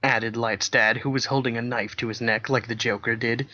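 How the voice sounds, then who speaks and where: A man reads a story aloud in a deadpan, matter-of-fact narration, tossing in dry asides. His voice sits at an ordinary middle pitch. The sound is a bit dull and lo-fi, like a remote recording. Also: moderate pace, crowd noise. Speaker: co-host reading a fan fiction aloud. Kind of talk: comedic dramatic reading.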